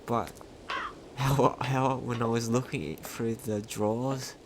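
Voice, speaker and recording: A man's voice: medium pitch, fairly even intonation, takes it slowly, background noise. A man giving casual let's play commentary, thinking aloud in a hesitant, rambling way. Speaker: gaming video host. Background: video game music.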